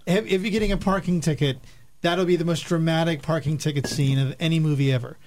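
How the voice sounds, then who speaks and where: A male radio co-host chatting casually about films, disagreeing in a measured, wry and joking way. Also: a little high, steady tone, darkish tone. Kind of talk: casual chat and film commentary.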